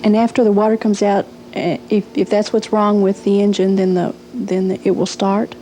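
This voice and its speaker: A woman's voice: medium pitch, level tone, normal speed, background noise. A woman interviewing for a training film, giving instructional questions and reminders.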